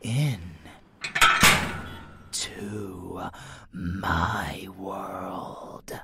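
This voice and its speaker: Casting: unhinged male vampire lover for ASMR roleplay, played by a woman, very low, drawling, whispery, teasing menace. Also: steady tone, very slow, gravelly, heavy background noise, heavily acted. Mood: teasing, seductive.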